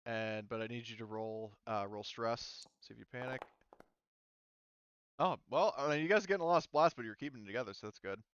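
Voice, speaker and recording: A man's voice: mid-range voice, brisk, fairly bright tone, smooth, no echo, muffled recording. A man acting as game master, casually thinking aloud as he talks players through rules and turns. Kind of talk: casual tabletop game narration and rules talk.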